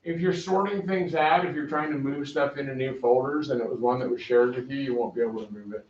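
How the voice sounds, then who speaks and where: A man teaching a math class, giving loud, direct, brisk instructions to his students. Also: mid-range voice, steady tone. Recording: echoey classroom, dull lo-fi sound.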